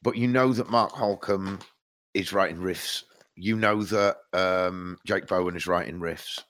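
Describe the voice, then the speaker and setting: A man's voice: deep voice, a little slow, fairly bright tone. A man co-hosting a podcast, chatting casually about music, a bit stuffed up from hay fever and rambling with lots of restarts. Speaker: podcast co-host.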